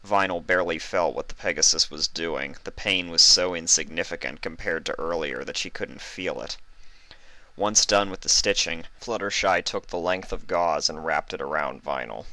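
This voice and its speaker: A man's voice: low-pitched, normal speed, sharp tone, no room sound, poor audio. A man reading fan fiction aloud as scripted narration.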